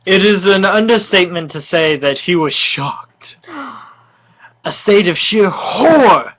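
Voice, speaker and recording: A person giving a tipsy, mock-dramatic reading of comic fan fiction, halting and often giggly. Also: mid-range voice, expressive, muffled recording. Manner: giggly, frequently breaking into laughter; melodramatic, halting delivery.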